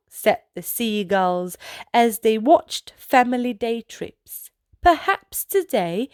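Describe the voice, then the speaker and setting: High pitched lady reading a kids picture book out loud, really slow and deliberate, super expressive. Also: no room sound, clean studio sound. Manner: animated, with exclaimed sound-effect words; slow, deliberate delivery for young children. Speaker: children's storytime reader and host. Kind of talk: expressive read-aloud picture book narration.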